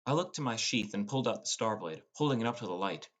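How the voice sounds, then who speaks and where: A man's voice: lowish voice, expressive, fast, a bit bright. A man narrating a scripted first-person fantasy audiobook as a teenage boy gamer.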